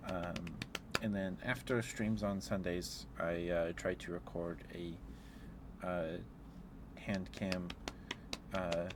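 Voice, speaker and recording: A man chatting casually on an ASMR livestream as the host, in a hesitant, halting, chuckly and apologetic way. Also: low-pitched, level tone, background noise. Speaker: live streamer hosting an ASMR stream.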